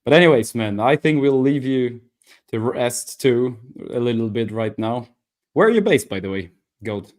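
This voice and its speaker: Male co-host, energetic and casual, laughs into his sentences. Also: mid-range voice, moderate pace. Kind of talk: casual livestream chat.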